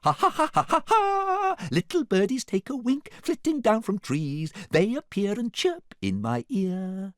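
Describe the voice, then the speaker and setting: A prim, haughty storybook princess. The voice is very high and girlish, swooping around in an affected singsong. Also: huge pitch swings, measured pace, few pauses, a little mellow, no room sound, studio quality, exaggerated voice. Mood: sweet singing.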